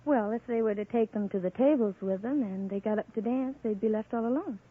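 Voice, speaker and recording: A woman's voice: fairly high pitch, brisk, husky. Firm young woman on tinny old radio. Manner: matter-of-fact, firm. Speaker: quiz show contestant. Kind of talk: brief interview answers.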